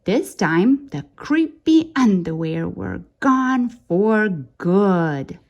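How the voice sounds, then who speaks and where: A woman reading a children's story aloud in an expressive, dramatic way, drawing out key words for suspense. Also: medium pitch, extremely slow, dull audio.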